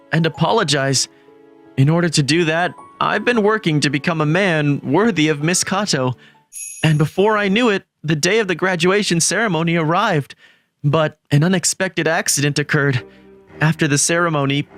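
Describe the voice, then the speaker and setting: A man voicing an earnest, lovestruck teenage student who narrates a scripted manga story in a measured way. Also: on the high side, a bit bright, lightly acted. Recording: background music under the voice.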